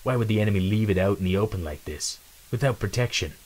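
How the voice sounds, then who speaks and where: A man voicing a stealthy, logical, humorless mouse soldier in a storybook reading, speaking in a steady, formal, measured way. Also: lowish voice, rough recording. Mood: analytical.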